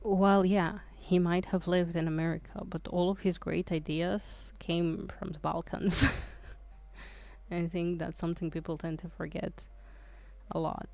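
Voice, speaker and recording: A woman's voice: lowish voice, flat delivery, a little slow, vocal fry, a little mellow, dry recording. A woman from Croatia, chatting casually on a livestream, hesitant and rambling with lots of fillers and restarts. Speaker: streamer.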